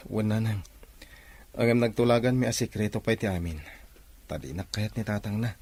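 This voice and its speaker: An actor with an Ilocano Filipino accent playing an earnest, determined young son in a radio drama. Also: male voice, on the low side, brisk, a little mellow, slightly rough, no room sound. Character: earnest, determined young man confiding in his mother.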